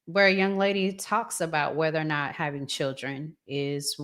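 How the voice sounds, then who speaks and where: A woman's voice: low voice, steady tone, normal speed, a bit bright. A woman hosting a talk show in an informal, colloquial way, introducing topics for discussion.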